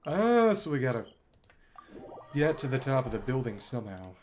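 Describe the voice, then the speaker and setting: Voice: mid-range voice, big pitch swings, normal speed, a little mellow, a little hiss or hum. A video game streamer giving casual live commentary, thinking aloud and trailing off mid-thought.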